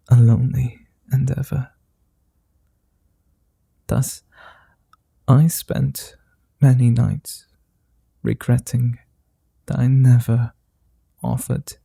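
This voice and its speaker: A man voicing a flirty, tender male vampire in an ASMR roleplay, speaking slowly, softly and formally, often in whispers, close to the mic. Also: medium pitch, gravelly, husky, no echo, full character voice. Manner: slow, soft and often whispered; old-fashioned formal speech.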